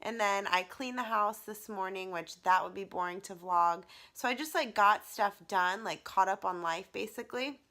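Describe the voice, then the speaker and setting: A young woman vlogging to her camera. She is losing her voice and sounds raspy, and she gushes with excitement and fills her talk with "like". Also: medium pitch, normal speed, hardly any pauses. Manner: excited, gushing, many "like" fillers. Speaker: vlogger and teacher. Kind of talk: casual talking-to-camera vlog.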